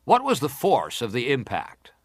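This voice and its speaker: A man acting a middle-aged senator in a dramatised hearing, putting probing, formal questions in a deliberate, pressing way. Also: medium pitch, wildly swooping pitch, no echo, clean studio sound. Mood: probing, insistent. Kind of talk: dramatised reading of hearing interrogation.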